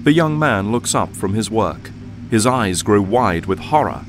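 A man's voice: on the low side, animated, measured pace. A man narrating an audio drama from a script in a solemn, dramatic storytelling manner. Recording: loud music and effects behind.

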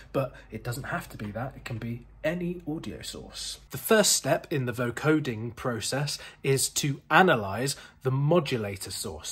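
A young man presenting an informal explainer to camera in a chatty, wry, animated way, with a husky voice. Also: medium pitch. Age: young adult. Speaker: museum video presenter. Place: indoor museum rooms full of synthesizers.

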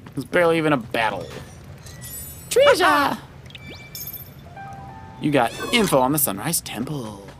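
A man's voice: on the high side, wildly swooping pitch, a little slow, long pauses. Encouraging man commentating over game music. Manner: encouraging, coaching. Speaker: dad co-hosting a Let's Play. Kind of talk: casual gameplay commentary. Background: loud video game music.

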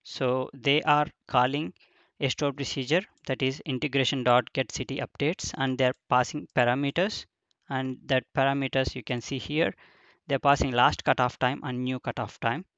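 A man's voice: mid-range voice, steady tone, moderate pace, fairly bright tone. A man in a middle register talks through a screen-recorded tutorial one step at a time, often stopping on "uh" and "um". The sound is dry and a little dull, like a home desk mic with no room echo. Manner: explains step by step with frequent fillers and restarts. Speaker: instructor.

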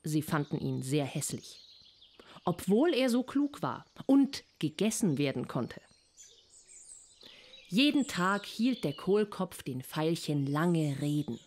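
A woman's voice: deep voice, big pitch swings, takes it slowly, darkish tone. A woman narrator reading a scripted storybook fable for children.

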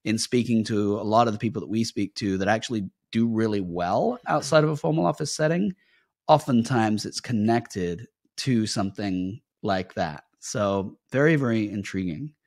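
A middle-aged British man with a British accent softened by years in the US, hosting a podcast interview from his home office in a measured, thoughtful way over a headset. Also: on the low side, no echo, clean studio sound. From United Kingdom. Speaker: podcast host. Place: home office with bookshelves, video call.

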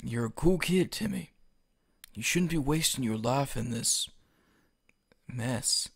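A man playing a rough but soft-hearted drug dealer in an audio drama, talking casually and swearing. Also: medium pitch, animated, slow pace, no echo, studio quality, exaggerated voice. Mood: warm, awkward. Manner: casual, foul-mouthed.

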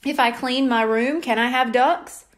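A woman voicing an eager, scheming six-year-old boy with a pleading, excitable manner in a children's storybook. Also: high voice, unhurried.

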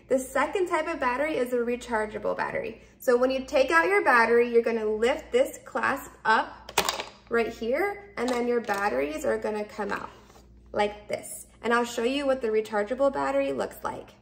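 Woman, thirties, fairly high voice, noticeable vocal fry, casual instructional delivery, simple repeated steps, home product demo. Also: moderate pace. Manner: instructional, repetitive, simple explanations. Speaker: product reviewer. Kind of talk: casual product demonstration, step by step. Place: indoors, open kitchen and dining room at home.

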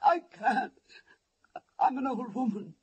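High-voiced, frightened old woman, radio thriller. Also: lively intonation, slow pace, darkish tone, slightly rough, muffled recording, heavily acted. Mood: desperate. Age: older. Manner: pleading, placating, anxious. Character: frightened, pleading old woman held captive at home. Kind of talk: 1940s-50s radio thriller acting. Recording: noisy old-time radio recording.

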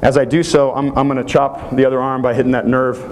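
A man's voice: medium pitch, level tone, fast, darkish tone, slight background noise. A man in his thirties giving a live football technique clinic, explaining each move as he demonstrates it in a hotel meeting room. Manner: explanatory, walks through moves while demonstrating.

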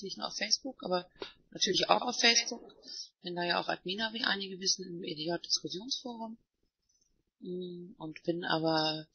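A woman's voice: lowish voice, husky, no room sound. A woman from Hamburg introducing herself as an activist in casual, hesitant talk full of fillers, recorded over an online voice chat. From Hamburg, Germany. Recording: dull, muffled online voice chat.

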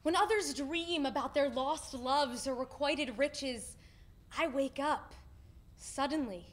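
A young woman performing spoken-word poetry on stage with dramatic, deliberate phrasing. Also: sits high. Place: indoor stage in front of a curtain.

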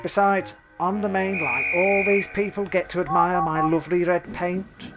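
Storybook casting: a boastful engine with a lifted, loud, sniffy delivery, put on by a male narrator. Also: high voice, fairly even intonation, normal speed, over loud music, pitched above the performer's own voice, close to an everyday voice. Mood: sneering. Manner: haughty and scornful. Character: vain proud male red engine.

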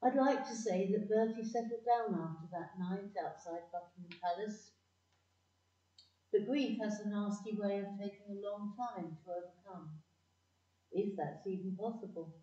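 Woman acting a mellow, wistful female narrator, echoey. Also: medium pitch, moderate pace, lightly acted. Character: wistful woman narrator remembering a troubled young love. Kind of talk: scripted narration. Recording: dull, echoey, distant microphone.

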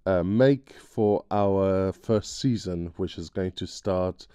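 A man's voice: sits low, slow pace, warm tone, clean tone, dry recording, studio quality. A man co-hosting a podcast, giving a casual introduction. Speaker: podcast co-host and sound designer.

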